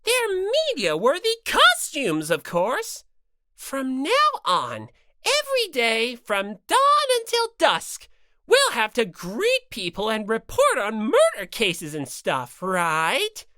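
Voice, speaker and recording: A woman's voice: on the high side, huge pitch swings, takes it slowly, sharp tone, no room sound, clean studio sound, pitched above the performer's own voice, full character voice. A woman voicing a mean, mischievous bear mascot in an audiobook, taunting in a theatrical, mock-sweet way. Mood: showy, scheming. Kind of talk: dramatized audiobook character reading.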